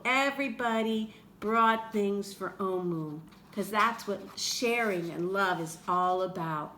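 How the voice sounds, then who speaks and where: A woman reading a picture book aloud to children in an expressive way, with chatty asides to her young listeners. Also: mid-range voice. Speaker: read-aloud storyteller for children. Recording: roomy echo with faint background noise.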